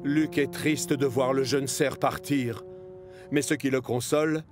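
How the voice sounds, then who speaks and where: A man narrates a children's cartoon in the present tense, as a scripted storyteller. His voice sits at a middle pitch, and music plays clearly behind him. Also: fairly even intonation, measured pace.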